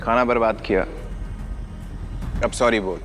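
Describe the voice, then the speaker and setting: A man's voice: fairly low pitch, steady tone, measured pace, a bit bright, soft background music. Man playing a cool, fearless young hero. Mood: defiant. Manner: self-assured, commanding. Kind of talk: Hindi audio drama acting.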